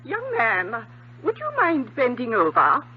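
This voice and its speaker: A woman's voice: on the high side, huge pitch swings, a little slow, breathy edge, heavily acted. An actress playing a theatrical titled English lady of about sixty in a 1950s radio drama, with an English accent. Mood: flustered. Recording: dull 1950s radio recording.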